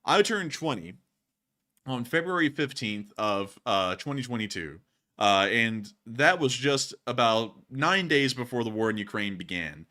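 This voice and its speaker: A man's voice: medium pitch, animated, a little slow, pushed and bright. A man in his early twenties hosting live news commentary, talking casually to viewers in an emphatic, folksy way. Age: early 20s. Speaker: news channel host.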